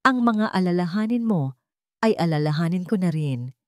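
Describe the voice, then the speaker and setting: A woman's voice: fairly low pitch, expressive, normal speed, a little mellow, no echo, clean studio sound, natural voice. A woman voicing a charming, affectionate and reassuring glamorous wife in a story. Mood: soothing.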